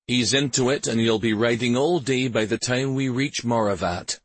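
A man voicing a stern, protective warrior officer, brisk and firm, in an audiobook story. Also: mid-range voice, husky, no echo, pitched above the performer's own voice, close to an everyday voice. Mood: firm, caring.

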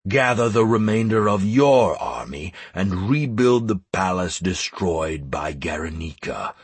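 Man voicing a slow, grandiose ancient great dragon. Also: low voice, sing-song, husky, no echo, pitched below the performer's own voice, heavily acted. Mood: solemn. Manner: slow, ponderous, pronouncing decrees.

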